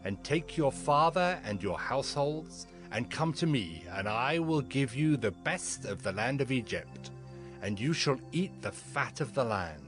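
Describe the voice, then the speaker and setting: A commanding Egyptian king played by a man, slow and regal, with wide swings in pitch. He declaims generously in a scripture drama over quiet music. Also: expressive, natural voice. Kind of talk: dramatized scripture audio acting. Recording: music behind the voice.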